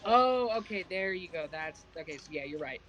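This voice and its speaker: A man's voice: on the high side, lively intonation, moderate pace, a bit bright, highs cut off, faint music behind. A man playing a tabletop game, hesitantly thinking aloud as he casually works through the rules.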